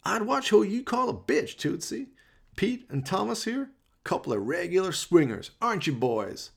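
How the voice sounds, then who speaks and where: A man's voice: high voice, big pitch swings, normal speed, creaky, fairly bright tone, slightly rough, voice pushed up, full character voice. A man voicing a brash, sleazy gang boss in a comic radio play, mocking and swaggering with slangy threats. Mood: mocking menace.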